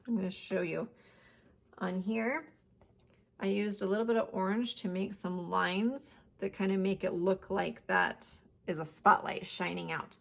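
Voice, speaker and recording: Hoarse woman teaching a painting class. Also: medium pitch. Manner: chatty, plain instructional talk. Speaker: art teacher. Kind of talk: step-by-step painting lesson.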